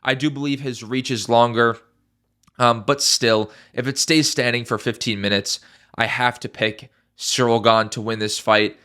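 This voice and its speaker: A man's voice: medium pitch, fairly even intonation, moderate pace, bright, forceful tone, clear, resonant tone. Stuffy-nosed man talking fight picks. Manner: emphatic, repeats key phrases, swears casually. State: congested, stuffy. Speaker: MMA YouTuber. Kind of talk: casual fight predictions.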